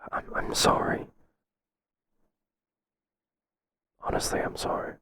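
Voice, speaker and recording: A man playing a flustered but principled boss in an ASMR roleplay, speaking hesitantly in a breathy whisper. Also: a little hiss or hum. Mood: apologetic, uneasy. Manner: hesitant, halting, gently firm. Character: flustered, professional male boss, kind but firm. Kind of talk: whispered ASMR roleplay, intimate second-person acting.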